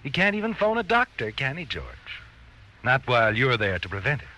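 An actor playing a sinister, mocking narrator who insinuates and gloats in a 1940s suspense radio drama, recorded from an old broadcast. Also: male voice, mid-range voice, huge pitch swings, fairly quick, bright, forceful tone. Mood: gloating, taunting. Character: sinister, taunting male narrator gloating over the guilty. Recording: vintage radio broadcast with music. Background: music.

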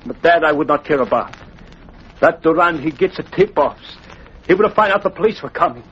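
Pleading Italian immigrant father, higher voice, broken English, vintage radio play with dull sound. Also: expressive, normal speed, fairly bright tone, husky, heavily acted. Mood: desperate, pleading. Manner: broken English, refers to himself in third person. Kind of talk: 1940s radio acting. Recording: dull, noisy old radio recording.

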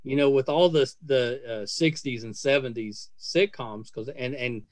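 A middle-aged man hosting a casual podcast interview, speaking in a gushing, effusive way with halting phrasing. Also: mid-range voice, no room sound. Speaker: podcast host interviewing guests.

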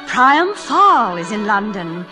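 A woman's voice: medium pitch, big pitch swings, measured pace, music in the background. An actress playing a gossipy English society lady in a 1940s radio play, theatrical and emphatic. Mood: gossipy excitement.

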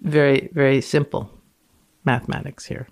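A woman's voice: a bass voice, steady tone, moderate pace, darkish tone. A woman speaking as a guest on a science podcast, moving between casual chat and reading aloud from a paper.

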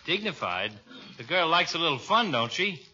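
An actor playing a folksy, joshing small-town barber in a 1940s radio comedy. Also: male voice, fairly high pitch, big pitch swings, normal speed, pushed and bright, slight background noise, heavily acted. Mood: eager. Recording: dull vintage radio recording.

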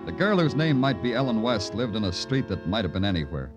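An American actor playing a tough, brooding, terse big-city police detective in a 1950s radio crime drama. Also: male voice, on the low side, big pitch swings, fairly quick, over music. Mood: brooding narration. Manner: terse, hard-boiled, slangy. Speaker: detective and narrator. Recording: noisy old radio recording.

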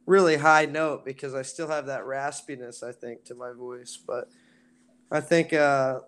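A raspy-voiced man from a band talks loosely about his music. He rambles, circling back with fillers, in an easygoing interview tone. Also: mid-range voice, measured pace. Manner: relaxed, rambling with many fillers. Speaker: band singer. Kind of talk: casual interview chat.

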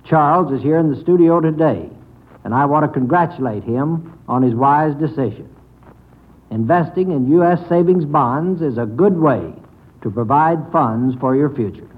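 A man's voice: a little high, lively intonation, moderate pace, husky. A man giving a formal radio address as a government official. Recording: old radio broadcast, narrow tinny sound.

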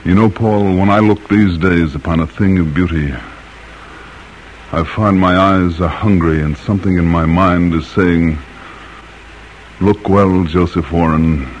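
An actor playing a grave, eloquent colonial-era doctor and patriot in an old radio drama, speaking in a measured, solemn way. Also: male voice, low voice, steady tone, dull audio, slight background noise. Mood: wistful.

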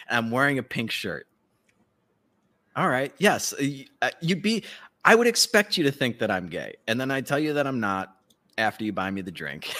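A man with a medium-pitched voice talks as a podcast co-host. His style is loose, comedic banter. He is irreverent and swears freely, rambling through his points. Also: bright, forceful tone.

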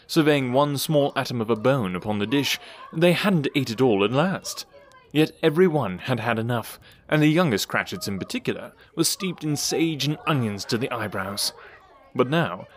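A man narrating a classic storybook tale in an expressive, theatrical storytelling manner. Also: medium pitch, slight background noise.

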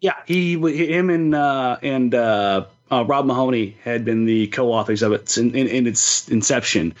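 A man's voice: mid-range voice, a little slow, dull audio. A man co-hosting a sports podcast in casual chat, often repeating words as he thinks aloud.

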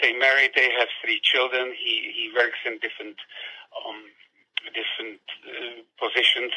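A man's voice: medium pitch, bright, forceful tone, slightly rough. A man with a Czech accent, a guest author on a phone call, telling a story thoughtfully and often restarting his sentences. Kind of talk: storytelling in conversation.

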